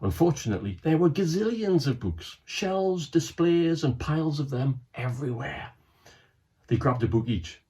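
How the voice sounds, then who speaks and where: A man reads a children's storybook aloud, letting his voice swoop up and down with lively expression. He adds chatty asides to his listeners between the lines of the story. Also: a little high, normal speed. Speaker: author reading his book.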